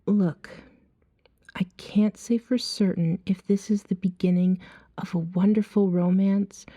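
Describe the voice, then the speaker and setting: A seductive female vampire, played by a woman, speaks in long, washy reverb. Her voice is soft, mellow and breathy, often close to a whisper. She tells her story very slowly, coaxing and hypnotic, in an ASMR roleplay. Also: medium pitch, fairly even intonation. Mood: gentle, reassuring. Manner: slow, soothing, hypnotic second-person narration.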